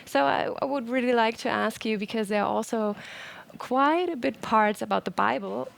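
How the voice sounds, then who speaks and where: A woman's voice: fairly high pitch, moderate pace, gravelly. A woman moderating a film festival interview in a conversational way.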